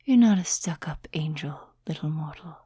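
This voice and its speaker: Woman acting a breathy, whispering ancient demon temptress. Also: deep voice, expressive, no room sound, studio quality, exaggerated voice. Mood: mocking, coaxing. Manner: slow, teasing, soft-spoken whispering. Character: ancient seductive demon, mocking, amused, condescending to mortals. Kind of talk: whispered ASMR roleplay. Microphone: close, dry microphone.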